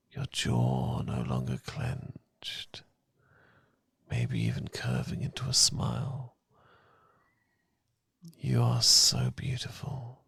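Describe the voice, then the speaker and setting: Gravelly, breathy British man, soft ASMR. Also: a bass voice, no room sound. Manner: gentle, calming, intimate, unhurried. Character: loving, reassuring boyfriend. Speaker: ASMR roleplay performer. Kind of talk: romantic ASMR, soothing guided meditation. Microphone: close, dry ASMR miking.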